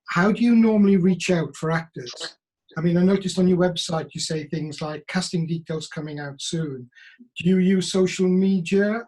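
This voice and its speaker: High-voiced older Welsh man. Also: darkish tone, rough recording. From South Wales. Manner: polite, earnest, talkative. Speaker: caller asking questions. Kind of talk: online Q&A question.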